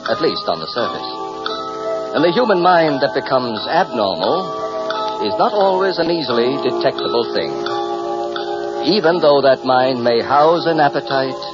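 A man's voice: mid-range voice, very animated, lightly acted. Man as dramatic radio thriller narrator, sweeping intonation. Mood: grave, ominous. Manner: dramatic, deliberate, solemn. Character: grave, ominous philosophical narrator of radio thriller. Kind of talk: 1940s radio acting, scripted narration. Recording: muffled old radio recording, loud music.

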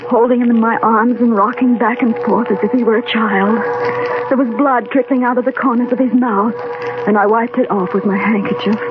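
A woman's voice: on the high side, lightly acted. An actress playing a tender, fearful young American woman in a dramatic, emotional 1940s radio drama. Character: young haunted woman, tender, fearful, narrating her flight. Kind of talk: 1940s radio drama acting, first-person narration. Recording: noisy, tinny old radio recording.

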